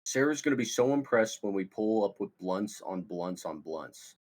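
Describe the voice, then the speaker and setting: Young male stoner slacker, acted by a man, comedy film read. Animated, rough-edged voice, scheming and casual. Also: on the low side, normal speed. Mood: eager, scheming. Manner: casual slangy excitable delivery.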